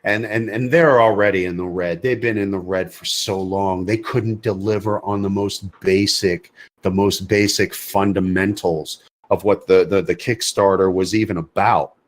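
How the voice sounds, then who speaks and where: A man's voice: low-pitched, steady tone, moderate pace. A man talking as a podcast guest, blunt and emphatic, repeating words for effect. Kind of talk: casual podcast chat.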